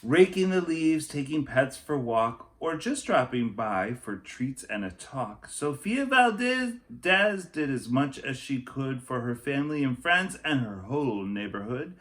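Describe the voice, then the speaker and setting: A man teacher reading a picture book aloud to children, with expressive, emphatic delivery and softer, chatty asides. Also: mid-range voice, a little slow, few pauses.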